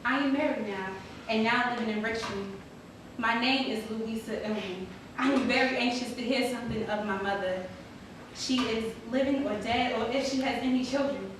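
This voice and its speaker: Far from the microphone in a very echoey, noisy hall, a woman plays an anxious freed daughter searching for her mother. She reads her letter aloud on stage, earnest and formal, in a slightly high voice. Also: normal speed, close to an everyday voice. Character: polite, anxious young married freedwoman seeking her mother. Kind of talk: dramatic reading of a historical letter.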